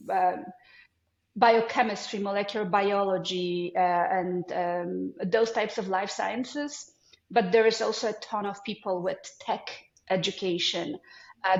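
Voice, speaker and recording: Polish-accented woman on an echoey call. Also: medium pitch. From Poland. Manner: hesitant, many ums, friendly. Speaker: guest, foundation CEO. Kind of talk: casual interview talk. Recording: echoey, dull lo-fi.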